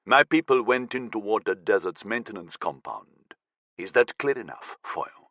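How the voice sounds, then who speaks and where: A man voicing a cold, menacing militant leader who speaks slowly in a low, dangerous tone. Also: animated, a bit bright, no room sound.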